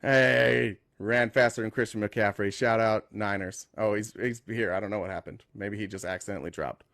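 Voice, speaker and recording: A man's voice: fairly low pitch, fairly even intonation, moderate pace, gravelly, a bit bright, no echo, clean studio sound. A man hosting a casual live call-in stream, blunt and teasing with callers. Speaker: host.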